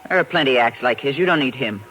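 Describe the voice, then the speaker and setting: A performer playing a gruff, guarded bearded lady of the carnival in a 1950s radio drama. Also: medium pitch, animated, quick pace, fairly bright tone, slight background noise, close to an everyday voice, rough recording. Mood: stern, warning. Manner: terse, commanding.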